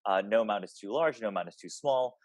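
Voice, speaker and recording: A man's voice: lowish voice, fast talker, no echo. A man, a theater director, giving an informal, somewhat hesitant spoken introduction to a show.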